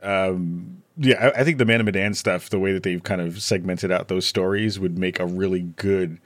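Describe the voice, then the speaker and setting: Man, low voice, podcast guest, casual meandering talk, dry recording. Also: steady tone, moderate pace, dry recording. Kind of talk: casual conversational discussion.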